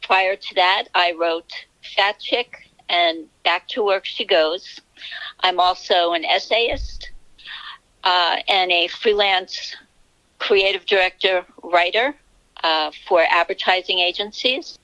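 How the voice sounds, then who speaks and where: A woman in her sixties with a New York City accent, a novelist answering interview questions in a measured, candid way. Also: lowish voice, pushed and bright, no echo, rough recording. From Bronx, New York City. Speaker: novelist guest.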